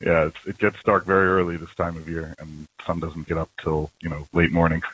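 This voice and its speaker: Deep husky man on a phone line. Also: level tone, fairly quick, a bit bright. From New York. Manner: friendly, chatty with light laughs. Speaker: company CEO guest. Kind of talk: phone-in interview. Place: at home at night.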